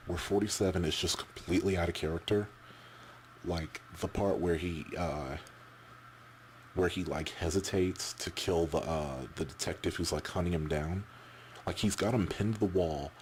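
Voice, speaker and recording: A man giving casual, off-the-cuff opinions as a vlogger, halting and repeating words as he rambles. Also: deep voice, a little hiss or hum.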